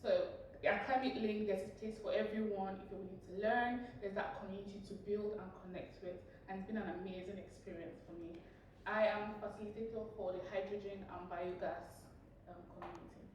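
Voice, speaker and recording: A young woman from Nigeria, a PhD student, speaks earnestly and pauses often on fillers. She is recorded from a distance in a very echoey room. Faint noise sits underneath. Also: medium pitch, steady tone. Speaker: PhD student, community facilitator.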